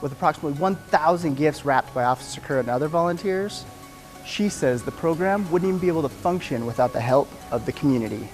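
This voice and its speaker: A man's voice: a little high, animated, fast, soft background music. A man with an American accent giving scripted television news reports.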